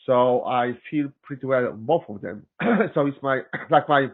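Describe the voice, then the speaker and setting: A man with a Polish accent, a systems engineer chatting as an interview guest, halting with many restarts and fillers. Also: mid-range voice, expressive. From Poland. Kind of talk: casual interview chat. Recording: tinny phone-like line.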